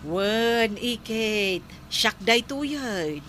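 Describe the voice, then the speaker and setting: An actress playing a patient, polite young wife in a radio comedy drama, speaking softly and respectfully. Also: female voice, on the high side, expressive, very slow, slight background noise, exaggerated voice.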